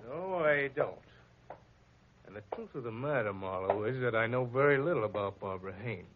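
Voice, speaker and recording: A man's voice: expressive, a little fast, close to an everyday voice. A man playing a blunt, hearty giant sculptor. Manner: blunt, booming laughter. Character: big blunt bearded male sculptor, hearty. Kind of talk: 1940s radio acting. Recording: dull lo-fi old radio recording with faint noise.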